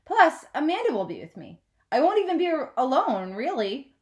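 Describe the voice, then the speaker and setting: A woman's voice: high-pitched, wildly swooping pitch, moderate pace, darkish tone, pitched above the performer's own voice. A woman voicing an earnest seventh-grade girl in a storybook, pleading in a whiny, exasperated and emphatic way.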